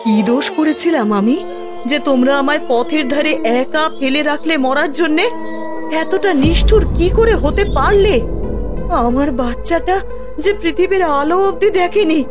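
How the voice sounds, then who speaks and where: A woman playing the reproachful ghost of a dying pregnant woman in a horror cartoon, weak and pleading. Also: high voice. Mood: anguished reproach. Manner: slow, weak, plaintive wailing delivery. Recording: music behind the voice.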